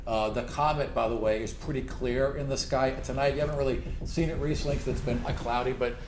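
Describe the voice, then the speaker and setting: A man runs a tabletop game as game master, describing scenes off the cuff in a mid-pitched voice. The recording is roomy, with a faint layer of noise behind him. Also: fairly even intonation, quick pace. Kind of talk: improvised narration of a tabletop roleplaying game.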